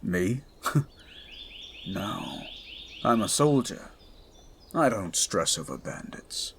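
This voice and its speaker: A man voicing a towering male goliath bodyguard who is stoic, dutiful and protective, speaking in a formal, measured, commanding way in an audio roleplay. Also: lowish voice, sing-song, slight background noise, exaggerated voice. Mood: dry, gentle.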